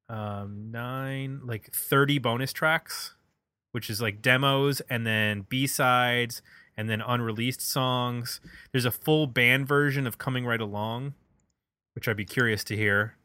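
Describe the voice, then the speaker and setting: Male podcast host, bright forward voice, very dry close sound, casual music talk with opinions. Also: mid-range voice, no echo.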